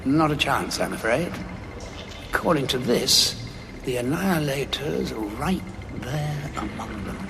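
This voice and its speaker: Gravelly, war-weary Time Lord. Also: male voice, mid-range voice, lively intonation. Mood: urgent, wry. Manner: dramatic, deliberate, dryly sardonic. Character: war-weary male Time Lord, grim, guilt-ridden, sardonic. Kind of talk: audio drama acting. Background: background music.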